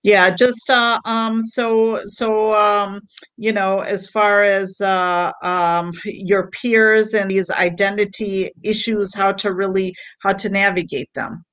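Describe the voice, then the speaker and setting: A woman moderating a panel, halting and hesitant, with many fillers. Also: medium pitch, flat delivery, fairly bright tone, clean tone.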